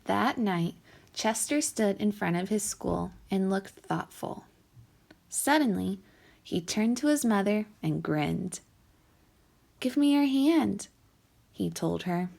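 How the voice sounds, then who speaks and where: A woman teacher reading a picture book aloud to children with measured, deliberate phrasing. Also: medium pitch, lively intonation, gravelly, darkish tone. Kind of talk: scripted storybook narration for children.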